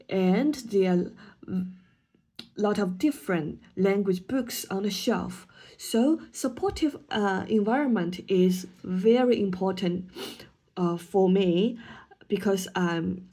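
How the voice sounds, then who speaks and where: A woman's voice: medium pitch, mellow. A woman whose first language is Chinese, a student teacher giving a hesitant class presentation with frequent ums and restarts.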